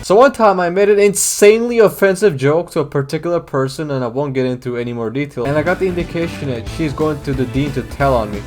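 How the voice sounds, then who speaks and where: A man's voice: on the high side, quick pace, hardly any pauses. A young man from Georgia, telling school stories in a casual, edgy, sarcastic way. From Georgia (country). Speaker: YouTuber. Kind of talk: comedic storytelling narration. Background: background music.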